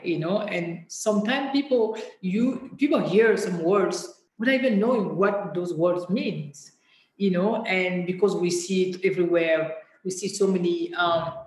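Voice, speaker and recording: An interview guest speaking candidly and reflectively, often pausing to search for words. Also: medium pitch, roomy sound, a little hiss or hum, low-quality recording.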